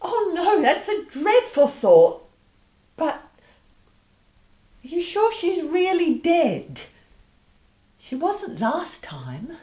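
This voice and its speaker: A woman's voice: very high voice, sing-song, very slow, long pauses, dark tone, roomy sound, heavily acted. A woman playing a flighty, childishly eager soap actress in a comic radio play. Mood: dithering dread.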